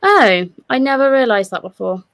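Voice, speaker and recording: A woman's voice: high voice, big pitch swings, normal speed, fairly bright tone. A woman chatting casually as a panellist in a book discussion. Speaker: book club readalong panellist.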